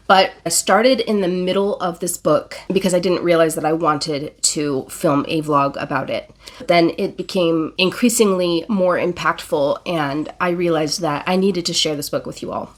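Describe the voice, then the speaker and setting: A woman in her forties, still congested from an illness, talking earnestly and emotionally about a book in a casual reading vlog, with fillers and self-corrections. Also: fairly low pitch. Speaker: book vlogger.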